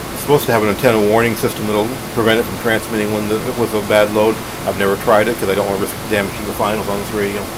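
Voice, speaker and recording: Man rambling casually over steady background hiss. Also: on the low side. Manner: rambling, halting, plain-spoken. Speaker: radio collector and video host. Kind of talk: casual hands-on gadget overview. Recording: steady hiss and hum behind voice.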